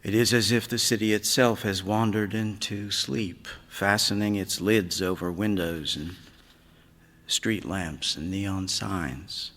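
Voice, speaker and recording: A man reading a literary story aloud on stage, in a measured, dramatic way that moves between hush and sudden swells. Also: lowish voice, steady tone. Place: large theatre stage. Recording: reverberant hall, live stage reading.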